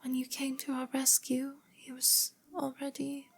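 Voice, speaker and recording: A woman voicing a frail, sickly young girl who is sad and polite, in a feeble, breathy voice with a British accent. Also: high-pitched, completely flat delivery, measured pace, pitched above the performer's own voice. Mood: faint, sorrowful.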